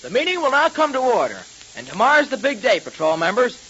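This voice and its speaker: A young-sounding male actor playing an eager, excitable boy sidekick in a 1930s radio serial. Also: extremely high pitch, quick pace, full character voice. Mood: earnest, eager. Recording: muffled, noisy 1930s radio recording.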